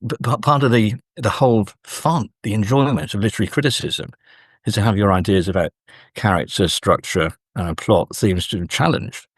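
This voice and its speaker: Husky man, academic guest. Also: on the low side, no echo, clean studio sound. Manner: measured, hesitant, scholarly. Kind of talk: thoughtful literary interview discussion.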